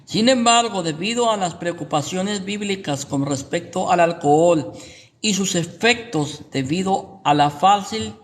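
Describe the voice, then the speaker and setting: A man's voice: high voice, a little slow, slight background noise. A Guatemalan man with a Guatemalan Spanish accent, a pastor giving informal Bible teaching and checking in with rhetorical questions. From Guatemala City, Guatemala.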